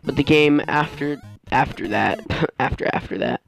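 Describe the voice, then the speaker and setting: A man giving casual let's play commentary as host, rambling and halting as he often loses his train of thought. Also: mid-range voice, creaky, fairly bright tone, faint music behind. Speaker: gaming video host.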